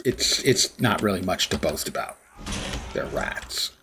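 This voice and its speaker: A man's voice: deep voice, expressive, measured pace, pushed and bright, slight background noise. Rambling man streaming a game. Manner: rambling, self-mocking. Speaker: livestreamer. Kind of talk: casual gameplay chatter.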